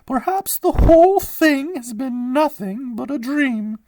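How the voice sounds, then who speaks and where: A man voicing an elderly refined lady who speaks slowly and wistfully. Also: lively intonation, background noise, full character voice. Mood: dreamy. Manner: high, slow and wistful.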